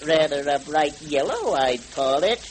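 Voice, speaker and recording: An actor in a vintage radio serial playing a coldly vengeful masked avenger, with a theatrical, deliberate, menacing delivery. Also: male voice, on the high side, animated. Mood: stern accusation. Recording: noisy, crackly old radio recording.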